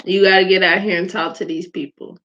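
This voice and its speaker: Soft-spoken woman with a bright lo-fi voice. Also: lowish voice, flat delivery, brisk. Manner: soft-spoken, chatty, laughs often. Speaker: host of a live faith vlog. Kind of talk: casual spoken reflection. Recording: dull lo-fi sound.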